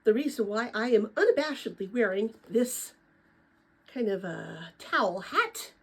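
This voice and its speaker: An older woman, a retired teacher, with a chatty, animated way of talking, chatting informally and reading a children's book aloud. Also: mid-range voice, measured pace, breathy edge. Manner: chatty, animated, rambling with personal asides. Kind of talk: informal chat and storybook read-aloud.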